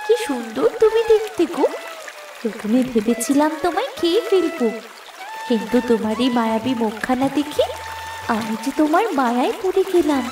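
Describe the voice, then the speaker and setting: Woman voicing a high, tender river creature. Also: moderate pace, distant mic, music in the background. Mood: tender, enchanted. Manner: wondering, cooing, expressive. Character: tender female river creature guarding an abandoned baby. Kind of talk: dramatic cartoon fairy-tale voice acting.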